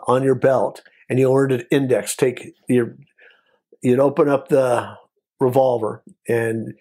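A man's voice: medium pitch, creaky, dark tone, breathy edge. An American man in his sixties, telling stories casually as an interview guest in short, conversational phrases. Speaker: guest, former Border Patrol agent.

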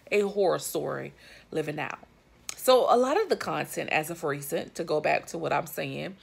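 A woman's voice: lowish voice, gravelly, husky. A woman in her thirties giving emphatic, chatty commentary to her viewers from her couch, with plenty of fillers and asides. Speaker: vlogger. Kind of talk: casual commentary to camera. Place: living room, on a couch indoors. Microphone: phone or webcam at arm's length.

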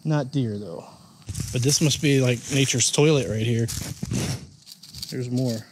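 A man talks casually as he walks outdoors through the woods, musing and often unsure what he is looking at. His voice sits at an ordinary pitch, and clear outdoor noise runs under the recording. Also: unhurried. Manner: musing, unsure, thinking aloud. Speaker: vlogger. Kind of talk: casual walking commentary.

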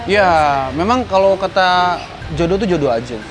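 A man's voice: high-pitched, animated, normal speed, crowd noise. A young man filming a comedic prank vlog on an outdoor café terrace, joking playfully and rambling. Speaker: vlogger.

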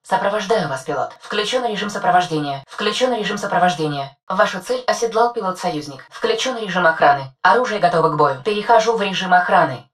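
A composed female war-machine AI that rattles off short, formal combat reports at a rapid clip for a game. Her voice sits fairly low for a woman. It sounds set back from the microphone. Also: lively intonation, fairly bright tone, recorded from a distance. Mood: calm. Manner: clipped, formal military status reports. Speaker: voice actor. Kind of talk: video game dub voice lines.

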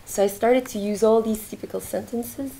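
A young woman from Belgium presenting her artwork at a launch event in a lecture theatre, speaking haltingly with many fillers. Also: fairly high pitch, fairly even intonation, creaky, warm tone, a little hiss or hum, rough recording. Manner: hesitant, many fillers, gestures while talking. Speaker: artist presenting her work. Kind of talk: informal talk at a launch event.

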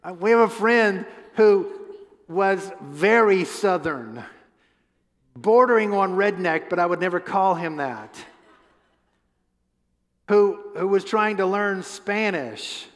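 A man's voice: high-pitched, slow, pauses often. A man in his fifties preaching a conversational sermon on a church stage through a headset mic, asking the crowd questions and often restarting his words. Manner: animated, gestures a lot while pacing the stage. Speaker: pastor. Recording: long hall reverb.

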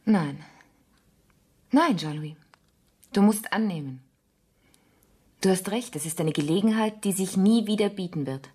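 A loving but mistrustful partner in a radio drama. Her voice is husky and breathy, at an ordinary female pitch. She speaks seriously, holding something back. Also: lively intonation, a little slow, pauses often, dark tone. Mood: tender, resigned. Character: wary, loving woman, doubtful of her ex-husband. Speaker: actor. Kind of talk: German radio play acting.

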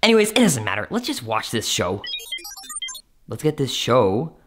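A man, talking playfully and excitedly to camera as a vlog host. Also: medium pitch, normal speed. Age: 20s. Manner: animated, playful, hyped. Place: living room at night. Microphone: handheld vlog camera at arm's length. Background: faint music.

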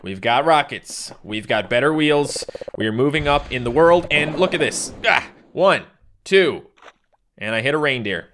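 Lively young man playing a mobile game. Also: on the high side. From Newfoundland, Canada. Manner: excitable, yelps and jokes at the game. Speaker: gaming channel host. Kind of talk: casual let's-play commentary. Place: home recording room.

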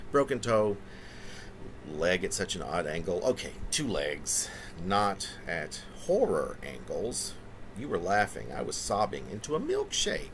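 A man in his fifties performs a poem in a low-pitched voice. His delivery is theatrical and animated, broken into halting phrases with chatty asides. It is recorded on a webcam indoors, with a little background noise. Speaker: poet reading his own poem. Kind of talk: dramatic poetry reading with conversational asides. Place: small room indoors.

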